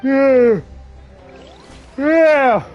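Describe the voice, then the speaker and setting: A woman's voice: high-pitched, drawn-out words, highs cut off, faint music behind. A woman casually commenting while playing a video game, drowsy and offhand. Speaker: gamer.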